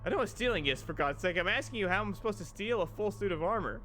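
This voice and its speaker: A man's voice: high voice, animated, fast, bright, forceful tone. A man voicing a panicky, exasperated young woman, the heroine of a game, in a dramatic, indignant manner. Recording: music behind the voice.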